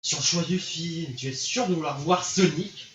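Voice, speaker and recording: A man's voice: on the high side, normal speed, fairly bright tone, dull audio, slight background noise. A man chatting casually during a game stream, guiding and teasing a friend in an informal way. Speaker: streamer guiding a friend through a visual novel. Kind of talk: casual live gaming banter with read-out dialogue. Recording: echoey room with music behind.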